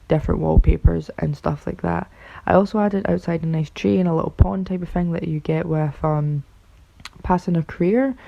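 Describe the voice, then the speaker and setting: A woman with a raspy voice from being ill, rambling through casual voice-over commentary on a game build, full of fillers and unsure asides. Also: deep voice, mellow. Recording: dull lo-fi recording.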